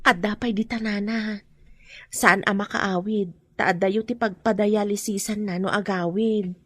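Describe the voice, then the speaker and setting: An actress playing a concerned, cautious, protective friend in an Ilocano radio drama, with a husky voice. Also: female voice, medium pitch, steady tone, measured pace, no echo, close to an everyday voice.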